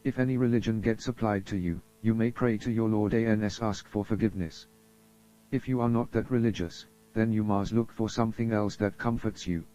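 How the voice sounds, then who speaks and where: A man's voice: lowish voice, steady tone, moderate pace, dark tone. A man reading a scripted emergency broadcast as an announcer, formal and solemn in manner. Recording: dull lo-fi audio.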